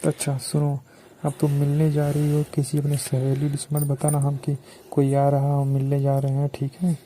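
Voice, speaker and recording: A man's voice: on the high side, monotone, moderate pace, dark tone, slight background noise. A man talks coaxingly and insistently in a casual, flirtatious phone conversation. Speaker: phone caller.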